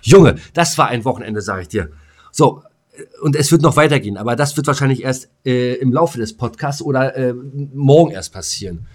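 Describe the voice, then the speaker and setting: A man talks as a podcast co-host in a loose, colloquial way. His pitch sits in the middle of the male range. He is blunt and swears freely as he banters and rants. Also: lively intonation, normal speed, a little mellow. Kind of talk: casual podcast banter and ranting.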